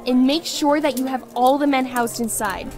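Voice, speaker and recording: A woman playing a poised young princess with dry wit in a visual novel, brisk and authoritative. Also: high voice, steady tone, over music, close to an everyday voice. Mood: commanding. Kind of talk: visual novel character acting.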